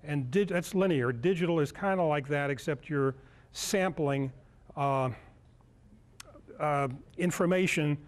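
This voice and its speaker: Older man with a slightly high voice. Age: 60s. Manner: halting, searching for words. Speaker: lecturer at a club workshop. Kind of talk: informal live demonstration. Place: indoor meeting room, speaking to a group.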